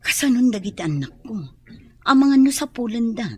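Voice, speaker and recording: A woman's voice: on the high side, expressive, fairly quick, mellow, natural voice. A woman playing a hesitant mother torn over leaving her children, in a radio drama. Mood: hesitant, worried. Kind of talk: Ilocano radio drama acting.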